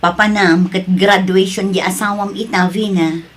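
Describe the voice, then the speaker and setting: A woman's voice: medium pitch, fairly even intonation, moderate pace, soft background music, natural voice. A woman acting a concerned middle-aged mother in an audio drama, questioning and admonishing as she speaks. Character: concerned middle-aged mother, scolding her grown daughter.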